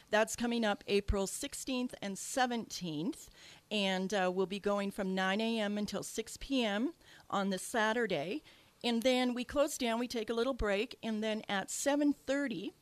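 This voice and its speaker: A woman's voice: medium pitch, dry recording. A woman with a Canadian accent hosting a radio talk show as a psychic medium, talking casually with lots of fillers and restarts into a studio mic. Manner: chatty, many fillers and restarts.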